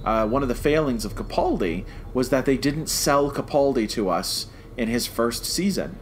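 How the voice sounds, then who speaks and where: A man's voice: mid-range voice, animated, normal speed. Opinionated man, faint background noise. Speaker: podcast co-host. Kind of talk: casual review chat.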